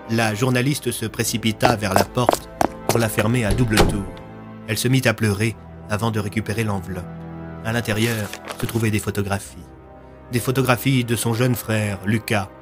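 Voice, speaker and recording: A man's voice: fairly low pitch, steady tone, moderate pace, over loud music. A man narrating a scripted audio fiction in a storytelling style.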